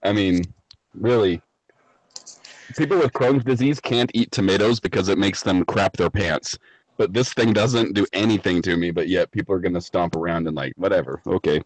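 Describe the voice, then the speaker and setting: A man's voice: low voice, normal speed, dry recording, poor audio. A man from the United States chatting casually as a live chat guest, laid-back, blunt and jokey.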